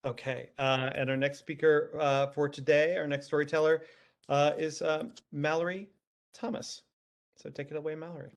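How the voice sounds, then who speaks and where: A man's voice: on the high side, little pitch movement, normal speed. A man moderating an online Q&A, hesitant and full of fillers, passing questions to speakers. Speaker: event moderator. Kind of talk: casual Q&A moderation.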